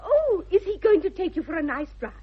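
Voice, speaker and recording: Eager English ingénue for period radio comedy: high, swooping, precise and earnest. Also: female voice, big pitch swings, a little fast. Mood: coy, romantic. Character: eager, sweetly earnest young lady ward. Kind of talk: 1960s radio comedy of manners acting. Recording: dull 1960s radio recording.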